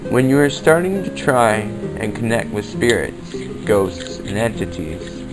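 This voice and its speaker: A man playing a calm occult practitioner, narrating instructions in a measured, explanatory way on a homemade radio-style podcast. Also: poor audio. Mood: instructive. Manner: measured, explanatory, addresses listener as friend. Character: calm occult practitioner teaching spiritual protection. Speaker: podcast host playing a practitioner of spirit work. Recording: homemade low-budget recording with music underneath. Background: clear background music.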